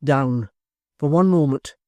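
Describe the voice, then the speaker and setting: A woman voicing a strong, stern gentleman, urgent and commanding as he calls out. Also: a bass voice, slow pace, dry recording.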